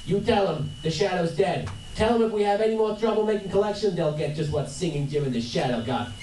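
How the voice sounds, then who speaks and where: A man acts out a bossy, none-too-bright radio-drama gangster. He blusters in a high, swinging voice full of tough-guy slang. The sound is roomy and distant from the mic. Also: lively intonation, fast talker. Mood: threatening. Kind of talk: live comic reading of an old-time radio crime drama. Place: convention panel room. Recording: roomy echo, distant mic, faint noise.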